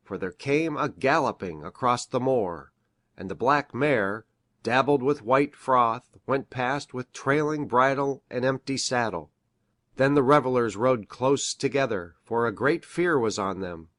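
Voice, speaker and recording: Formal, grave country doctor reading aloud. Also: male voice, medium pitch, fairly bright tone, no room sound, clean studio sound. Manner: formal, measured, grave storytelling. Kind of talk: dramatised classic mystery, reading a document aloud.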